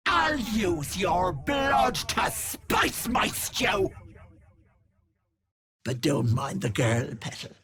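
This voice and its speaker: A woman playing a sly old hag witch in a video game, with an Irish accent and a husky, raspy voice that wheedles sweetly and turns menacing. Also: mid-range voice, big pitch swings, drawn-out words, fairly bright tone, soft background music, heavily acted. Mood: gleeful, sly. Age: older. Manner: wheedling endearments turning to menace. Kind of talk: video game character acting.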